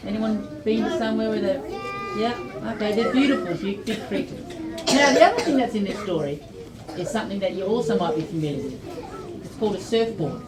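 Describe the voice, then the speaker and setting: A woman's voice: mid-range voice, a little fast. An Australian woman giving an informal educational talk to young children, explaining simply and often putting questions to them. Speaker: storyteller and presenter at a library children's event. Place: indoor library event room. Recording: echoey room, distant mic, children's chatter.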